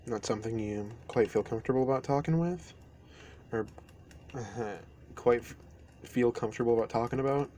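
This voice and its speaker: Mellow, gentle male best friend, acted by a man. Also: mid-range voice, close to an everyday voice. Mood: gently concerned. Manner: halting, unhurried, gentle. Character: caring, easygoing male best friend at a campfire. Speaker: amateur audio roleplay performer. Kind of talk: intimate roleplay audio acting, softly spoken to the listener. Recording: steady ambient noise behind voice. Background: campfire ambience.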